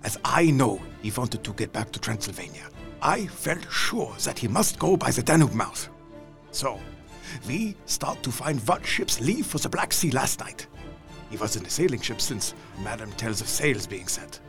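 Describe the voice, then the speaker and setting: An actor playing Van Helsing, an elderly vampire hunter, speaking in broken, grandly declaimed English. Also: male voice, medium pitch, expressive, moderate pace, vocal fry, fairly bright tone, faint music behind. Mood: resolute. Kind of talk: audio drama acting.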